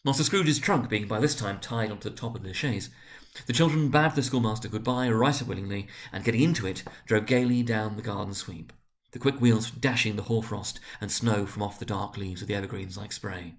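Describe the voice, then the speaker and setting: Low-voiced man narrating dramatically. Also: brisk. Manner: expressive, theatrical storytelling. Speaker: storybook reader.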